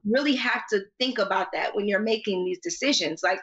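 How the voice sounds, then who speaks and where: A woman's voice: medium pitch, brisk, fairly bright tone. A young American woman co-hosting a casual TV recap, talking in an emphatic, opinionated, conversational way over a video call. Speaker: podcast co-host. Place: small home room indoors. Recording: slightly dull video-call audio.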